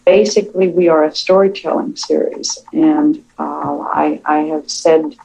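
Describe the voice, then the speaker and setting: A woman speaks as a writer being interviewed, with audible fry in her voice. Her delivery is thoughtful and measured, laying out her reasoning in a reflective way. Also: low voice, fairly even intonation, warm tone, low-quality recording.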